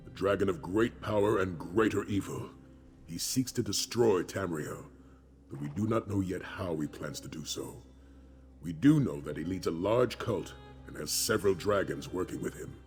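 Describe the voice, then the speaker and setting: Grave veteran dragon-hunter leader for fantasy game: very low, solemn, measured, with music underneath. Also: male voice, lively intonation, a little mellow. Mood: grave, explanatory. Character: grave, wise male dragon-hunter commander and mentor. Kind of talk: video game dialogue acting. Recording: music behind the voice.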